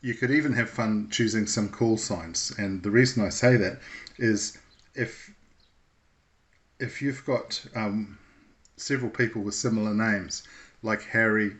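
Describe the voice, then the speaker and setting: Male instructor, lowish voice, unscripted explainer delivery, measured and slightly hesitant, pausing to think between phrases. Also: fairly bright tone. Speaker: instructor presenting a radio how-to video. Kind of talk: informal unscripted tutorial.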